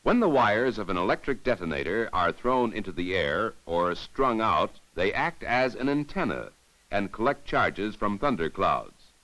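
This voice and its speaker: A man giving formal, measured, didactic narration in the scripted style of a 1950s educational safety film. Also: on the low side, a bit bright, poor audio.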